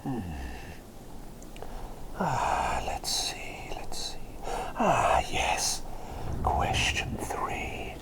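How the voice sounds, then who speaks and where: A man's voice: mid-range voice, huge pitch swings, very slow, pauses often, exaggerated voice. Man whispering as an eccentric, doting plague doctor. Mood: flustered, musing. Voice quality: breathy whisper. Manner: rambling, easily distracted, repeats phrases, politely old-fashioned. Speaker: ASMR roleplay performer. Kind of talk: whispered ASMR roleplay.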